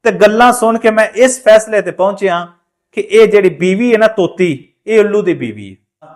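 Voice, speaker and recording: Cowed crow magistrate for an animal fable, voiced by a man, high Punjabi voice, formal, curt rulings. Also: moderate pace, natural voice. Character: cowed crow judge giving unfair rulings.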